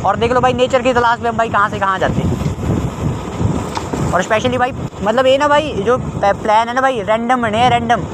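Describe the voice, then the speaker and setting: A man's voice: very high voice, normal speed. A young man chatting casually through a travel vlog, outdoors on mountain roads and trails, recorded on a phone or helmet camera. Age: young adult. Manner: chatty, calls viewers brother constantly. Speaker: vlogger. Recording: wind and traffic noise outdoors.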